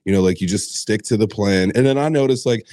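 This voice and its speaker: A hoarse man talks in a low, mellow voice, circling back on himself with filler words and curses. The sound is dry, close and unadorned. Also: brisk, no echo. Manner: rambling, profane, lots of fillers and restarts. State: hoarse from screaming. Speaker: host. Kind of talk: casual podcast chat.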